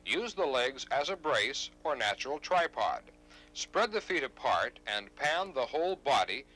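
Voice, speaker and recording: Male instructional narrator. Scripted, formal, precise delivery, animated pitch, crisp forward brightness. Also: low-quality recording. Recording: 1970s instructional videotape narration.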